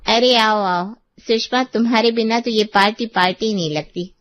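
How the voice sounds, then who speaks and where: Woman doing older auntie in a story, sharp tongue, wry teasing, slightly high voice, close dry sound. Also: moderate pace, no room sound, lo-fi sound, natural voice. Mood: welcoming, gossipy. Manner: wry, pointed.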